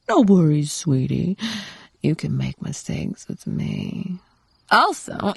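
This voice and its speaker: A woman playing a doting, possessive, teasing wife in an intimate audio roleplay, cooing in a breathy voice that often drops toward a whisper. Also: low-pitched, a little mellow, no room sound, studio quality, exaggerated voice. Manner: coaxing, cooing pet names, unhurried murmuring.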